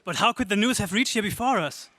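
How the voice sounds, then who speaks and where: A man plays a plucky, defiant hero of an old radio space adventure. The voice is high for a man and bright, with earnest, emphatic lines in a dry recording. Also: expressive, quick pace, no room sound. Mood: alarmed. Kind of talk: 1930s space serial radio drama acting.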